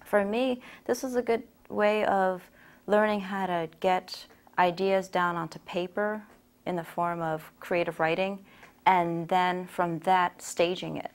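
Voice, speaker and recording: A woman's voice: on the low side, steady tone, a little slow. A woman taking part in a workshop, talking informally in an interview about learning to write and stage work. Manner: informal, with fillers and restarts. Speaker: workshop participant. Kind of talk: casual interview.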